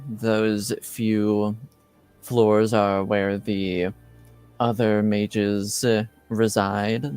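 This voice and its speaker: A man playing a formal, reserved mage escort in a tabletop game, speaking in a measured, halting way. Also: on the low side, a little mellow, clean tone, no room sound. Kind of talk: improvised tabletop roleplay.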